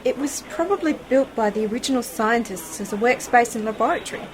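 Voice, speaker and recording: A woman's voice: on the high side, expressive, fairly quick, noisy background, close to an everyday voice. A woman playing a curious, sceptical young niece in an amateur science fiction radio play, speaking in a questioning, matter-of-fact way. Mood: sceptical, probing.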